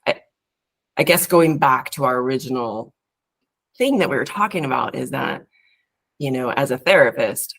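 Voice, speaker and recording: Gravelly-voiced woman therapist, reflective. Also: a bass voice, lively intonation, moderate pace, pauses often, fairly bright tone. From California, United States. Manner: rambling, reflective, with frequent fillers and restarts. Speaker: therapist, podcast guest. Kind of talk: casual interview talk.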